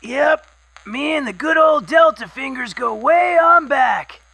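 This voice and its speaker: A man playing a loud, excitable wasteland scavenger and collector of old recordings, who yells everything into the microphone. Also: very high voice, measured pace, vocal fry, full character voice. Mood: excited. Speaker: radio guest.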